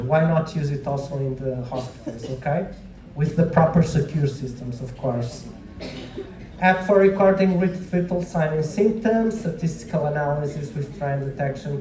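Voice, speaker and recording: Echoey, higher-pitched Portuguese-accented male lecturer. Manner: keeps checking in with short tag questions like "right?" and "okay?". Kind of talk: informal classroom lecture to students. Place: indoor lecture room with audience. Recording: echoey room, distant microphone.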